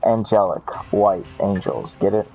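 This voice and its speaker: Low-voiced rambling joking man. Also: measured pace, dark tone, faint music behind, rough recording. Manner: rambling, joking, self-deprecating. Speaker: let's play gamer. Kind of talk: casual gameplay commentary.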